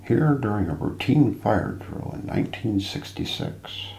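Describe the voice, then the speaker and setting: A man narrating a scripted mystery documentary as an ASMR role play, in a hushed, deliberate, suspenseful manner. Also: on the low side, sing-song, gravelly. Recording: roomy echo, light background noise.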